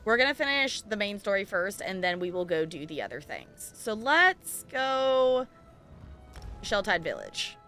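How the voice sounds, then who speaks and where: A woman's voice: medium pitch, big pitch swings, a little slow, sharp tone, faint music behind. A woman hosting a game livestream, chatting casually and thinking aloud in a rambling way as she plays. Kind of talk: casual chat while playing an online game.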